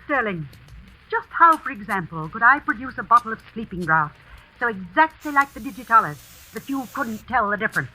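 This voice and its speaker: Female, high and strident with rough edge: an indignant, melodramatic housekeeper, 1940s radio suspense. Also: very animated, fairly quick, bright, forceful tone, full character voice, rough recording. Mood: scornful. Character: proud, defiant housekeeper accused of murder.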